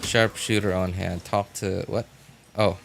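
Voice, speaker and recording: A man doing casual live gameplay commentary as a streamer, chatting to viewers in a halting way and muttering to himself as he plays. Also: low voice, little pitch movement, creaky, soft background music. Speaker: game livestreamer.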